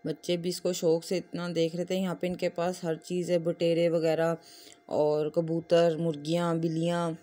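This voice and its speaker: A woman's voice: lowish voice, warm tone. A woman with a Pakistani accent giving a casual, chatty vlog voice-over, explaining everyday family life in run-on storytelling. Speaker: family vlogger narrating her day.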